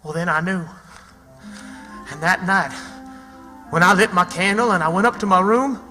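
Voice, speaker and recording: A man's voice: sits high, moderate pace, pushed and bright, soft background music. An actor playing a plucky, plain-spoken backwoods boy in his early teens, with a rural Southern American accent and folksy grammar, in radio drama style. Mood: wry, uneasy. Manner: folksy, ungrammatical storytelling. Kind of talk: theatrical radio drama acting and narration. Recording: long hall reverb.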